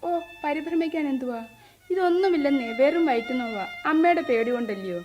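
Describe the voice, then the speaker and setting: A young daughter, radio drama, lively, teasing, high-pitched. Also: female voice, brisk, faint music behind. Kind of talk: 1970s radio drama acting.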